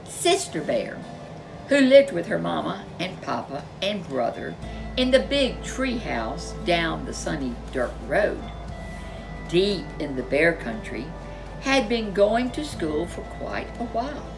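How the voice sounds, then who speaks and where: A woman with a lowish voice reads a children's story at an unhurried pace. Her delivery is highly animated, stretching key words and slipping in chatty asides, with music playing behind her. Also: creaky, poor audio. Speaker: storyteller reading a children's picture book. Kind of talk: expressive read-aloud narration for young children.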